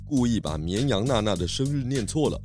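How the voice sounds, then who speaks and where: A man playing a calm, kindly pig detective in a children's audio drama, patiently explaining and gently instructing. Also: medium pitch, a little fast, faint music behind, lightly acted. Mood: approving, explaining.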